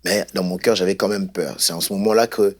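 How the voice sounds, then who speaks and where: A man from Congo, a guest pastor telling his life story in an unhurried, hesitant way. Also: sits low, fairly even intonation. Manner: unhurried, hesitant, many fillers.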